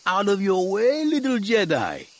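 A man's voice: high voice, huge pitch swings, unhurried, pitched above the performer's own voice, exaggerated voice. A man voicing a tall, hostile, half-crazed alien guard who taunts in clipped, broken syntax in an audiobook. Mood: taunting, menacing.

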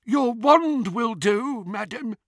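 High-pitched, fussy old goblin teller. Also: male voice, animated, slow pace, fairly bright tone, no echo, clean studio sound, exaggerated voice. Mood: officious. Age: older. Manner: formal, deferential, fussy. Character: elderly male goblin bank teller, officious and fussy.

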